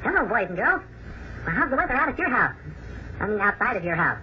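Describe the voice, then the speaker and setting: A performer voicing an excitable, playful little boyish character on a 1950s children's radio show, gushing and exclaiming. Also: mid-range voice, fast talker, close to an everyday voice. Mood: excited, playful. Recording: muffled, noisy 1953 radio broadcast on tape.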